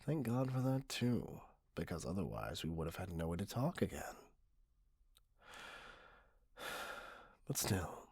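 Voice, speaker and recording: A man voicing an entitled, middle-aged, obsessive customer in an ASMR roleplay, husky, condescending and possessive, close to the microphone. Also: deep voice, sing-song, moderate pace, darkish tone, no echo, heavily acted. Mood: aggrieved. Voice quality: husky, often breathy. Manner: condescending, self-righteous, cloyingly possessive. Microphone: close, dry microphone.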